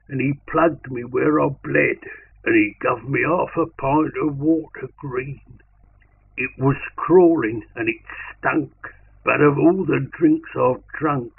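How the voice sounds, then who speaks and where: A man giving a theatrical, measured poetry recitation in a colloquial soldier's vernacular. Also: mid-range voice, creaky, breathy edge. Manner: theatrical, measured, with dropped-grammar colloquial delivery. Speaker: poetry reader. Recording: narrow tinny sound, faint noise.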